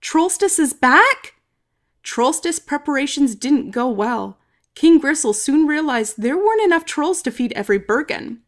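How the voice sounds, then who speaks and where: A woman reading a children's story aloud expressively, with dramatic emphasis and some drawn-out words. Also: high-pitched, lively intonation, darkish tone. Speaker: storybook reader. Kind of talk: expressive children's read-aloud, narrating in first person.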